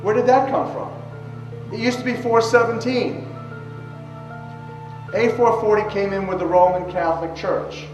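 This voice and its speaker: A man giving an explanatory lecture in an emphatic way, with deliberate phrasing. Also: high-pitched, steady tone. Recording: roomy, distant mic, music underneath. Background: music.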